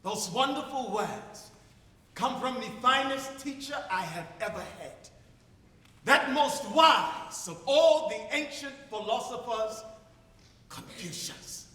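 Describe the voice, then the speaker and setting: An actor playing an ageing, idealistic South African schoolteacher in a theatrical stage monologue, declaiming earnestly and philosophically with emphatic pauses. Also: male voice, very high-pitched, expressive, sharp tone, exaggerated voice. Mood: fervent, admiring. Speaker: drama student performing a monologue. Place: large ceremony hall stage. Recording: echoey hall, distant stage sound.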